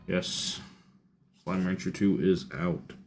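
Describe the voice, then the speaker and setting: A man's voice: sits low, slow, a little mellow. A young man streaming a game, chatting casually and thinking aloud with fillers and restarts. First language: English. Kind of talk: casual gaming stream chat. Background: faint music and keyboard typing.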